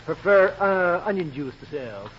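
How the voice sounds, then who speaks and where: An actor playing an odd, laconic small-town old-timer with halting, deadpan delivery in a 1940s radio comedy. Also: male voice, high-pitched. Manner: deadpan, halting, repetitive yeps. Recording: muffled, noisy old radio recording.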